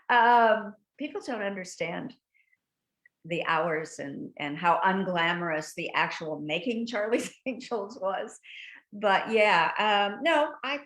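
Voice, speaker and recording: An older woman recounts memories as an interview guest, her voice sitting at an ordinary middle pitch. She tells her stories in a lively, animated way and laughs often. She is heard over a video call. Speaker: guest actress. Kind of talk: casual interview chat.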